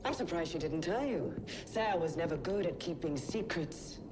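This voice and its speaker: An actress playing a taunting imperial villain who hunts heroes, with a deliberate, mocking delivery. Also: female voice, lowish voice, lively intonation, background noise, close to an everyday voice. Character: menacing, taunting female imperial villain hunting Jedi. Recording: loud music behind the voice.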